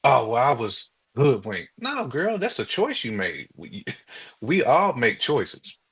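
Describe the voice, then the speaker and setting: A man's voice: medium pitch, wildly swooping pitch, slow, a bit bright, breathy edge. A man in a casual, opinionated chat, speaking colloquially and emphatically and repeating his points.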